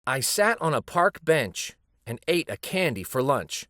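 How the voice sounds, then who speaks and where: A man voicing a wistful, gentle old street storyteller from a children's picture book. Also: mid-range voice, big pitch swings, a little slow, no room sound, clean studio sound. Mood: hurt, bewildered. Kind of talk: picture book read aloud.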